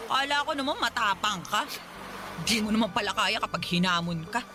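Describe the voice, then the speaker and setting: An actress playing a fed-up, sharp-tongued Filipino mother, sarcastic and taunting, in a Tagalog radio drama. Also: medium pitch, expressive, fairly quick, music in the background, close to an everyday voice. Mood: taunting, defiant.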